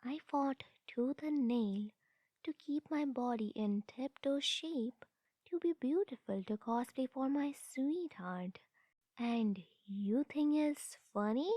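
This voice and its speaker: Woman acting a slow, breathy, smug yandere sister. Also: high voice, lively intonation, warm tone, no echo, exaggerated voice. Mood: offended. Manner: haughty, deliberate, cooing to the listener. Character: smug, possessive, scheming yandere sister, sweetly condescending. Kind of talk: ASMR comedy audio roleplay.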